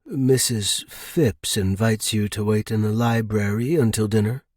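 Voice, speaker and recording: A reserved butler in an audiobook tale, voiced by a male narrator, speaking low with a husky edge. He is courteous and formal, with clipped, deferential phrasing. The sound is close and dry. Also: measured pace, darkish tone, no echo.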